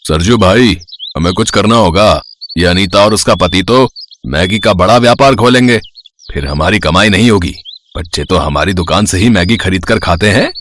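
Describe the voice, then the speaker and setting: A man's voice: lowish voice, a bit bright, a little hiss or hum. A man voicing an envious village shopkeeper in a moral story, urgent and conspiratorial. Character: envious male village shopkeeper, anxious and scheming. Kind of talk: Hindi moral story voice acting.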